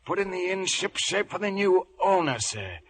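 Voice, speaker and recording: An actor playing a wily, jovial one-legged sailor turned inn cook in a radio adventure, with a gravelly voice and folksy, ingratiating nautical talk. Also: male voice, sits high, big pitch swings, moderate pace, fairly bright tone, full character voice. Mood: smooth, crafty. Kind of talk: old-time radio adventure acting.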